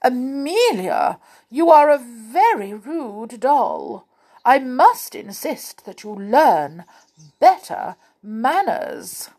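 A woman's voice: a little high, very animated, drawn-out words, mellow, breathy edge, exaggerated voice. A woman voicing a fussy, easily enraged lady scold from a children's storybook, prim and insistent. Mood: prim, cross. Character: fussy, fierce female balloon-headed scold, easily enraged.

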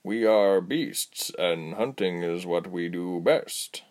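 A man voicing a weary, fat male tabby-cat chief in a storybook, with slow, drawn-out purring speech. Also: sits low, a little mellow. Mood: slow, menacing calm. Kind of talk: audiobook character voice.

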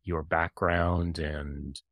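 A man hosting a podcast about living in new cultures, speaking in an earnest, measured way. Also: extremely low pitch, level tone, fairly bright tone, no room sound, clean studio sound. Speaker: podcast host and intercultural coach. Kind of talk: podcast interview.

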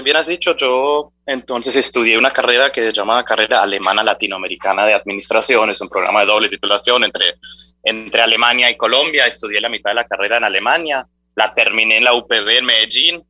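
Guest: man, startup founder, bright tense voice, dry sound, lively and chatty. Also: fairly low pitch, no echo. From Germany. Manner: friendly, animated, many fillers and restarts. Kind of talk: radio interview, casual conversational answers.

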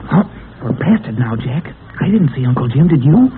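A man's voice: medium pitch, normal speed, natural voice. An actor playing an eager, jumpy teenage boy who says gee-whiz things, in a 1940s radio serial on a tinny, hissy old recording. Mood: hushed. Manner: excitable, gee-whiz exclamations.

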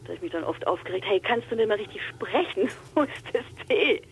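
A young woman from Franconia speaks in a casual chat, her Franconian accent tinged with Swabian. She sounds upbeat and laughs often, at an ordinary middle pitch. Faint noise sits behind her voice. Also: lively intonation, fast talker, poor audio. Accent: Franconian German with Swabian traces. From Franconia, Germany. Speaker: guest, aspiring voice actor.

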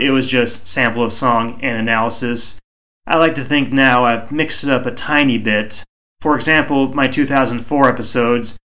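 A man hosting a solo hobby podcast, speaking in a measured, reflective way with a soothing voice, recorded on a home microphone. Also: medium pitch, pushed and bright. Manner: measured, reflective, deliberately slow. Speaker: podcast host.

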